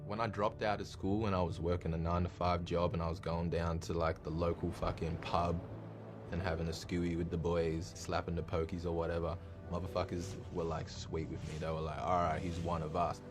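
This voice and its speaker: A man's voice: sits low, level tone, brisk. A young man, a hip hop artist, talking bluntly and with heavy slang in an interview. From Australia. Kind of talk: candid interview. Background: music playing.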